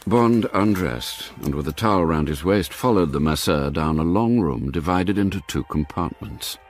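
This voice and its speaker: An actor narrating a radio spy drama, measured and grave. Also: male voice, low voice, expressive. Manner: measured, storytelling.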